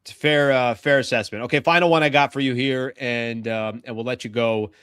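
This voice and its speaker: A man's voice: medium pitch, fairly even intonation, brisk, fairly bright tone, clear, resonant tone, dry recording, clean studio sound. An American man hosting a sports talk show, chatting casually with a guest and putting questions to him.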